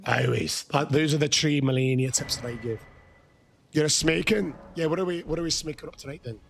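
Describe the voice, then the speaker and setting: An Irish man hosting a live gaming stream, his voice hoarse and worn from shouting. His tone is gravelly and sits somewhat high for a man. He chats loosely and excitedly with viewers, now and then erupting into loud, happy shouts. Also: a little fast, a little hiss or hum. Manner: excitable, bursts into shouting while thanking viewers. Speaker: gaming livestreamer. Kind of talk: casual live-stream chat.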